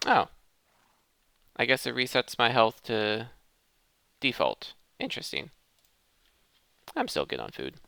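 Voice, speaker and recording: A man's voice: medium pitch, wildly swooping pitch, slow pace, creaky, pauses often, sharp tone, clear, resonant tone, no echo. A man hosting a gameplay video, thinking aloud in a casual commentary addressed to his viewers. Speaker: host of a gaming let's play series.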